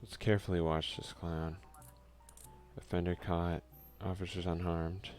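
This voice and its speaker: A man softly giving casual ASMR let's play commentary, muttering offhand asides and reading game text aloud. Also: deep voice, flat delivery, measured pace, faint music behind. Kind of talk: ASMR gaming commentary, soft-spoken and casual.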